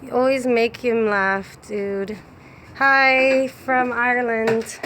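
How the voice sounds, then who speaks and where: A woman with a somewhat high voice helps out on a casual live stream. She relays viewer comments in short, chatty remarks, over faint background noise. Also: steady tone, takes it slowly, fairly bright tone.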